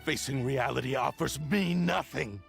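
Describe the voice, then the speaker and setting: A man's voice: mid-range voice, moderate pace. An actor playing a proud, menacing male sword spirit in a video game, speaking in haughty, archaic, formal phrases. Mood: defiant. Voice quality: gravelly, husky. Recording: game music behind the voice.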